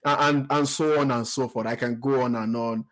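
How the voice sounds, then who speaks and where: A man from Nigeria with a Nigerian accent, hosting a podcast interview in a relaxed, hesitant way with many fillers and repeated words. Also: medium pitch, fairly quick. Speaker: podcast host. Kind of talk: conversational interview.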